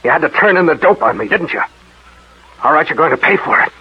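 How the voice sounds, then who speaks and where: An actor playing a desperate, guilt-ridden police detective in a 1940s radio drama, agitated and menacing. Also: male voice, on the high side, animated, quick pace, heavily acted. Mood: threatening, desperate. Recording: muffled, rough 1940s radio recording.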